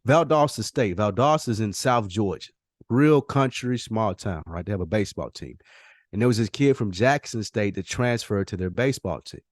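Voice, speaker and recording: A man's voice: on the low side, expressive, moderate pace, dry recording, clean studio sound. A man hosting a casual podcast conversation, speaking in slang and repeating phrases for emphasis. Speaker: podcast host.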